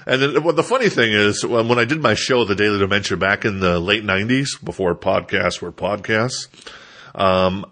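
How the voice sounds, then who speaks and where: A man's voice: lowish voice, normal speed, a bit bright. A stuffed-up man, rambling casually and self-deprecatingly as he hosts a solo podcast. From Minnesota, United States. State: stuffed up, congested. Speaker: podcast host.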